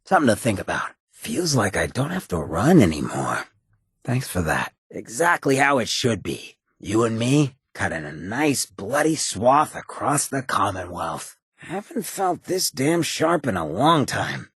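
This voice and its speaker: An actor playing a swaggering, sardonic male ghoul companion in a video game, with a raspy, gravelly voice and a wry, streetwise drawl. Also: mid-range voice, big pitch swings, unhurried, a bit bright, no room sound, studio quality, heavily acted. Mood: warm. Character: swaggering, sardonic male ghoul raider-town rogue, irreverent loyal companion.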